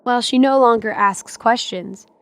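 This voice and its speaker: A woman's voice: a little high, normal speed, no room sound. A woman reading scripted dramatic narration as the narrator of an audio drama.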